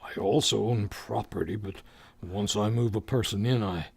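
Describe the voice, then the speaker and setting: An actor playing a genial, courtly undertaker with morbid wit, in the formal, drawn-out style of 1940s radio comedy. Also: male voice, on the low side, vocal fry, a little mellow, husky. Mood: mournfully droll. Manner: formal, unhurried, drawn-out delivery with morbid puns.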